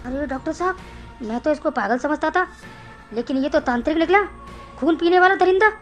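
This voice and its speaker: A woman voicing a naive, nervous male villager, a timid sidekick in an animated horror story. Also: sits high, brisk, muffled recording, faint music behind. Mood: alarmed.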